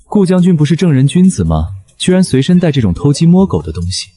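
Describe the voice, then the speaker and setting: A man's voice: on the high side, very animated, fast talker, dark tone. A man playing a haughty court chancellor who is secretly a rabbit spirit, dryly teasing, in a fantasy costume drama.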